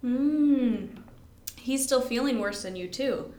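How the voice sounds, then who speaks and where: A woman's voice: high voice, takes it slowly, a little mellow. A young woman co-hosting a podcast in casual chat, rambling and giggly, poking fun at herself and sounding tired. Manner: giggly, rambling, self-deprecating. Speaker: podcast co-host. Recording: roomy echo.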